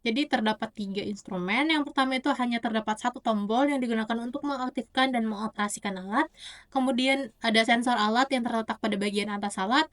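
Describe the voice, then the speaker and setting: A young woman gives an explanatory oral presentation as a student, often halting to correct herself. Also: on the high side. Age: young adult. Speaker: university student.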